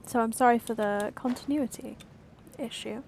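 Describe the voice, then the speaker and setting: A woman's voice: fairly high pitch, unhurried, a little mellow, slight background noise. A woman game streamer giving casual commentary as she plays. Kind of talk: casual gameplay commentary, reading along.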